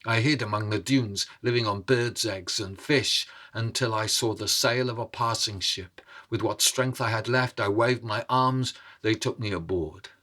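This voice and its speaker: A man telling a tale as a storyteller, in a measured, theatrical way with suspenseful pauses. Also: lowish voice, sharp tone. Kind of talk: dramatic oral storytelling over music. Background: instrumental music.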